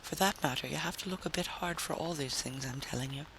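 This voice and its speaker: A man's voice: a little high, fast talker, gravelly, sharp tone. A man voicing a sprightly, fanciful tramp who talks in an earnest, coaxing, lyrical way in a vintage radio drama. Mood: dreamy, earnest. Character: sprightly, fanciful male tramp, silver-tongued and shifty. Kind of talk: 1950s science fiction radio drama. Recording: noisy vintage radio recording.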